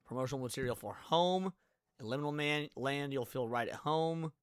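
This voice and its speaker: A man's voice: mid-range voice, normal speed, clear, resonant tone, no room sound, clean studio sound. A man hosting a live stream, chatting casually and reading text aloud, stumbling and restarting now and then. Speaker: live stream host reacting to videos. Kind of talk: casual reaction commentary.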